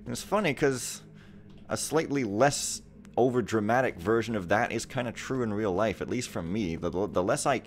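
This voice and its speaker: A man's voice: fairly low pitch, lively intonation, normal speed. A young man giving casual, wry, rambling commentary over a game he is playing. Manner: rambling, wry, sometimes reads game text aloud dramatically. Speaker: let's play commentator.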